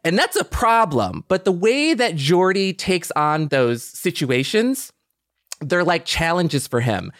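A woman's voice: fairly low pitch, sing-song, slow pace, no room sound, clean studio sound. A woman chatting casually as a podcast co-host, explaining her point in loose, conversational phrases. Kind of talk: casual chat.

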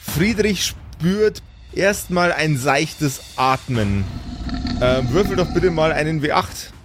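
A man's voice: a little high, lively intonation, slow. A man acting as game master, narrating an improvised tabletop role-play. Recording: music behind the voice. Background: background music.

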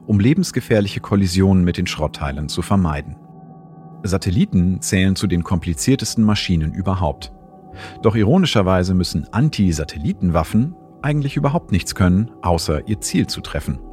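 A man with a deep voice narrates as a science host. His delivery is scripted, factual and carefully phrased, like a documentary, with soft music underneath.

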